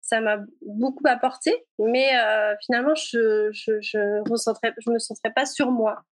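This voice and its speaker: A woman's voice: fairly high pitch, fairly even intonation, a little slow, no echo. A woman in her forties answering interview questions hesitantly, with many fillers and frequent laughs. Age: 40s. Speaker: guest, a reader of the book.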